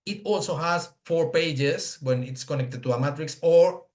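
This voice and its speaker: A man gives an unscripted webinar product demonstration, halting and searching for words, on a video call. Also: on the high side, a little mellow. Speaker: product presenter. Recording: echoey room on a video call.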